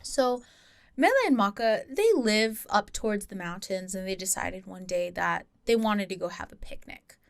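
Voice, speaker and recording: A woman's voice: medium pitch, moderate pace, creaky, no room sound. A woman co-hosting a podcast, telling a story in a casual, informal way with chatty asides. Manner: informal, giggly, self-interrupting asides.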